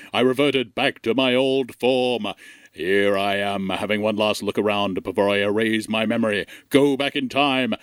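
A man's voice: mid-range voice, fairly bright tone, dry recording, close to an everyday voice. A man playing a solemn disembodied alien intelligence in an audio comedy drama, speaking in formal, grave wording. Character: solemn disembodied alien intelligence, secretly watching his friends.

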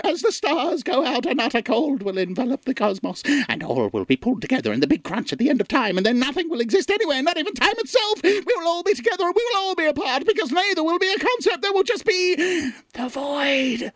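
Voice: high voice, very animated, fast talker, hardly any pauses, dry recording, clean studio sound. A performer voicing an ancient, self-important cosmic being in a comedic audio drama, musing grandly and gloomily. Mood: grandiose, brooding. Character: Alpha Omega, ancient grandiose cosmic super-pet, melancholy, self-important.

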